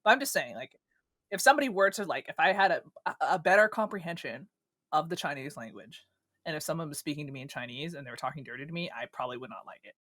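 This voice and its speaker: A man's voice: fairly high pitch, animated, fast talker, bright, forceful tone, dry recording. A man co-hosting a gaming podcast in casual chat. He teases his co-host with crude jokes and laughs a lot.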